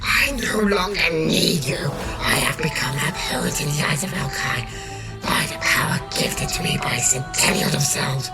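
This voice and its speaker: Fantasy audio drama immortal, female actor: low, stern, formal, grandly expressive, scored with music. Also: normal speed. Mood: solemn judgment. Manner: formal, pronouncing, theatrical. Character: solemn timeless celestial being, all-seeing and sternly righteous.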